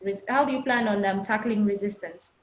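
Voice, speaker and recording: A woman's voice: medium pitch, brisk. A woman from Cameroon interviewing a guest in a conversational way. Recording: echoey room sound.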